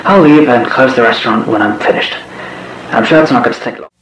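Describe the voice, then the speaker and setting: A man's voice: mid-range voice, a little fast, close to an everyday voice. A man playing a weary, uneasy restaurant employee, speaking hesitantly in a found-footage horror monologue. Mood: subdued, uneasy. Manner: hesitant, subdued. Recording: echoey, distant, dull noisy old tape.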